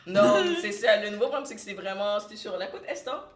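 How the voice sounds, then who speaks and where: A woman's voice: medium pitch, a little fast, a crowd in the background. A young woman from Cameroon hosting a casual podcast chat, laughing often and mixing English phrases into her French. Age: young adult. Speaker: podcast host. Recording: echoey room.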